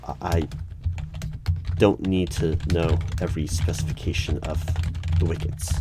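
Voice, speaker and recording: A man playing an awkward, officious hiring manager in an ASMR interview roleplay, speaking formally with halting stammers and over-polite phrasing. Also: rumbling low, little pitch movement, background noise. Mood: flustered. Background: computer keyboard typing.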